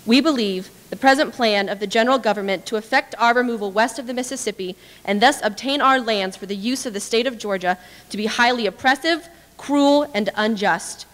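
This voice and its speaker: A young woman with a slightly high voice reads a formal text aloud. She sounds earnest and measured, speaking into a podium mic in an echoing hall. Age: young adult. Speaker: dramatic reader. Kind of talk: formal read-aloud of historical petition. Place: indoor hall with brick walls, small audience. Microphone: podium microphone. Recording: reverberant hall.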